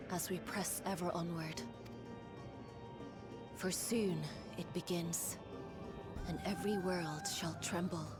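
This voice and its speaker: An actress playing a poised, teasing and ominous otherworldly woman in a video game, with formal, archaic phrasing. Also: fairly low pitch. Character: poised, teasing, ominous woman who travels between worlds. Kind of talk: video game cutscene acting. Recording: orchestral game music behind voice. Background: music.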